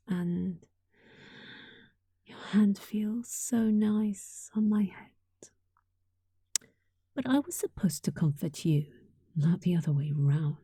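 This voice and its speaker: A woman's voice: on the low side, no echo, full character voice. A woman playing a sweet, affectionate young catgirl roommate in an intimate roleplay, speaking tenderly and breathily, often close to a whisper. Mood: cozy, playful, then cuddly, affectionate. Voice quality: soft, breathy. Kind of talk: intimate roleplay audio, softly acted monologue.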